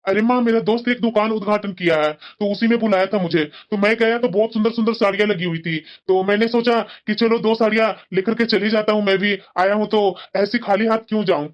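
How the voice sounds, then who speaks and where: A woman's voice: mid-range voice, brisk, few pauses, dull audio, close to an everyday voice. A woman voicing a cheerful young son in a homemade family skit, explaining things to his mother at length.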